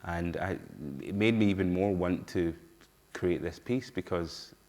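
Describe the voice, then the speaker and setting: A middle-aged man from Scotland speaks with a broad Glaswegian accent. His voice sits very low, and he talks earnestly to a conference audience. He stops and restarts his sentences often. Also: a little mellow. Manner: earnest, halting, many restarts. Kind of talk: personal storytelling talk.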